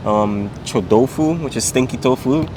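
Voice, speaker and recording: A man's voice: medium pitch, moderate pace, slight background noise. A young man from Saint Vincent and the Grenadines, chatting in a casual interview with lots of "um" and "like". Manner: relaxed, many fillers like "um" and "like".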